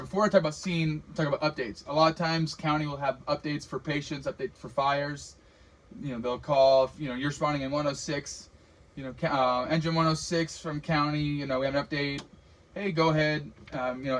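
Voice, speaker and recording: A man's voice: mid-range voice, a bit bright, low-quality recording. American man, informal instructor, plain-spoken, casual explanatory delivery, practical training talk. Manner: casual, plain-spoken, explanatory, acts out example radio calls. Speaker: firefighter radio communications trainer.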